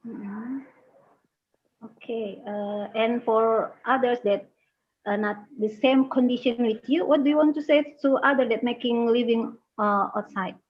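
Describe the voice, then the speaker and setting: Higher-pitched Indonesian woman, dull call audio. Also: measured pace. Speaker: competition judge. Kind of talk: question and answer on a call.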